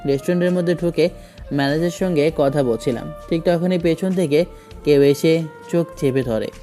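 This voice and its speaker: A man's voice: fairly high pitch, moderate pace, mellow, faint music behind. A man narrating a first-person romantic story in a dramatic scripted style.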